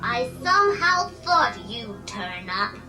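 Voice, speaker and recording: A British actress playing a timid, oversensitive ship's computer who is eager to please, in a science fiction radio drama. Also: female voice, fairly high pitch, very animated, slow pace, echoey room, loud music behind the voice, exaggerated voice. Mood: resigned.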